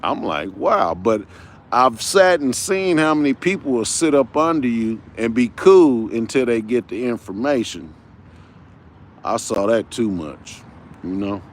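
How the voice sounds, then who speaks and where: A man's voice: medium pitch, expressive, a little slow. A man of fifty, unwell and tired, talking in a rambling, casual livestream, full of street slang and repeating himself. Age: 50s. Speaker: livestream host.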